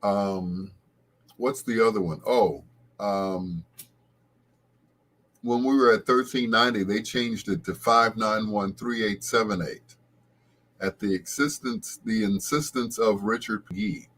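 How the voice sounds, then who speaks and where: A middle-aged American man with a rather low, husky voice talks as a late-night radio host. His delivery is casual and rambling, in the manner of someone reminiscing and telling stories to listeners. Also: takes it slowly, fairly bright tone.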